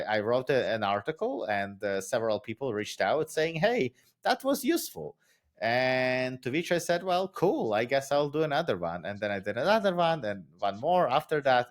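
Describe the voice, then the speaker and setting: A man in his thirties with a Ukrainian accent, a guest and founder explaining his path at length in a casual podcast interview. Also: mid-range voice. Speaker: guest, author and startup founder.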